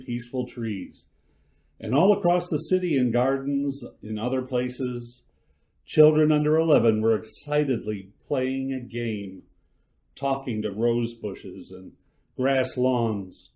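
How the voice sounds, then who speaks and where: A man narrating a radio drama in a slow, measured way. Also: mid-range voice, warm tone. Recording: muffled lo-fi recording.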